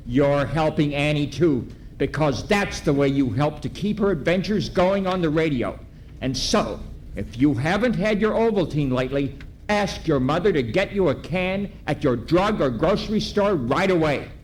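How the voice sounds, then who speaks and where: A man playing an eager announcer of a 1930s children's radio serial, coaxing young listeners in scripted sponsor style, live on stage. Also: sits high. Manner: exclamatory, coaxing, addresses children directly. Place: live stage reenactment in hotel ballroom. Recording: live ballroom recording with background noise.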